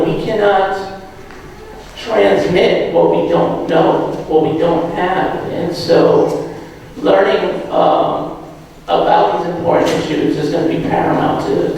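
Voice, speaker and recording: A slightly hoarse man from Providence gives a public talk, joking at his own expense between many fillers. His voice sits high for a man. He sounds distant, with a long, roomy hall reverb around him. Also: a little mellow, slight background noise. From Providence, Rhode Island. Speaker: guest speaker. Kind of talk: public lecture. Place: large hall or auditorium. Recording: echoey hall, mic from far off.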